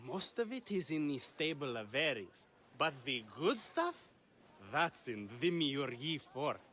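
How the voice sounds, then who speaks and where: A man's voice: on the high side, very animated, measured pace, fairly bright tone, slight background noise. An actor playing a self-important Greek playwright and leading man, effusive and grandiose, in a video game. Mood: concerned. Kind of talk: video game dialogue acting.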